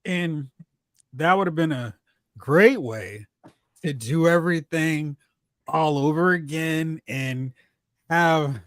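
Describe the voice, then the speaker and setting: A man's voice: fairly high pitch, dry recording, studio quality. A man hosting a live talk stream, chatting casually and giving fan commentary. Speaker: host of a live talk stream on movies and comics.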